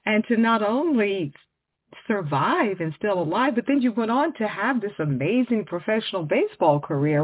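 A woman's voice: mid-range voice, breathy edge, dry recording. A woman from Texas hosting a conversational radio interview in an effusive, emphatic way. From Houston area, Texas, USA. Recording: muffled, narrow-band radio audio.

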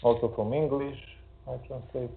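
A poet and translator from Romania, a Hungarian speaker, talking hesitantly with many fillers over a video call. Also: male voice, medium pitch, fairly even intonation, moderate pace, gravelly, a little hiss or hum. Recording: tinny call audio.

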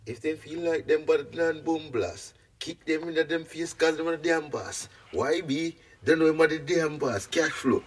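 A man chanting a rhythmic freestyle rap verse. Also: on the high side. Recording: distant mic, faint background noise.

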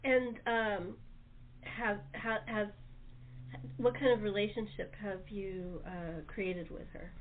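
A woman's voice: medium pitch, fairly even intonation, slow, slight background noise. A woman hosting a casual panel interview, talking informally with her guests. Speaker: talk show host. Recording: phone-like, narrow-band audio.

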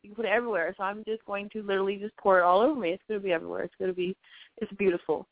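A woman's voice: mid-range voice, fairly even intonation, rapid-fire, dry recording, narrow, tinny audio. Congested woman, playful, on the phone. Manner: dreamy, playful, giggly. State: congested from a cold. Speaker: guest. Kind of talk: casual call-in chat.

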